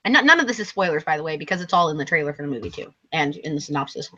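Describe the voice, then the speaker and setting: A woman's voice: low voice, animated, racing, pushed and bright. A tired woman who is losing her voice, co-hosting a casual live book chat in a rambling, hesitant, self-correcting way. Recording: dull lo-fi stream audio.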